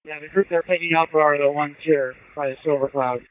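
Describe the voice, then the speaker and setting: Phone-like radio, higher-pitched clear male voice. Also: steady tone, fairly quick. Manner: brief, practical. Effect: police radio transmission. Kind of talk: informal radio coordination. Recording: narrow-band police scanner radio.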